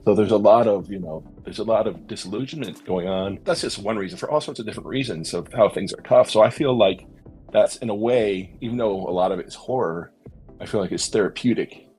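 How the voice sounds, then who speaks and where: A man's voice: on the low side, a little fast, poor audio. A middle-aged man talking in a thoughtful, tentative way as a panelist in a casual, reflective discussion. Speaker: podcast panelist. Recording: faint music underneath. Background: soft background music.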